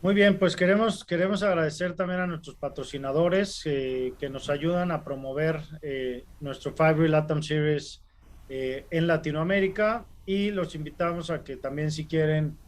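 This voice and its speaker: A man hosts a webinar over a video call. His voice sits a little high for a man, and he talks in a relaxed way, with frequent "eh" fillers. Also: moderate pace. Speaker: webinar host. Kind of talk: casual webinar hosting.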